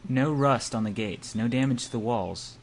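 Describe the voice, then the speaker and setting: A man with an average-pitched voice reads story narration aloud as an amateur player, dropping into casual chat with friends. He stumbles over words now and then, and the home recording carries clear noise. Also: normal speed.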